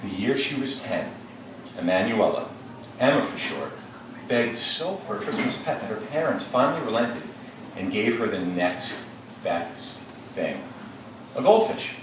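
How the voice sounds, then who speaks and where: A male teacher leading an interactive read-aloud, questioning his class in a lively, engaging way. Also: on the low side, measured pace. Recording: echoey classroom, distant mic, noisy.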